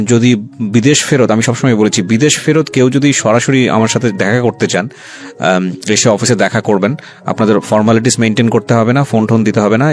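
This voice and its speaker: A man with a Bangladeshi accent hosting a radio interview, addressing listeners reflectively and mixing English words into his Bengali. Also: fairly low pitch. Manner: hesitant phrasing, mixes English words into Bengali. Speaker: radio show host interviewing a guest. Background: faint music.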